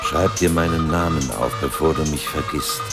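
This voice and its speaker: A man's voice: extremely low pitch, fairly even intonation, moderate pace. A man reciting bleak, dystopian verse as spoken word in a radio play. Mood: quiet, mournful. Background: loud music.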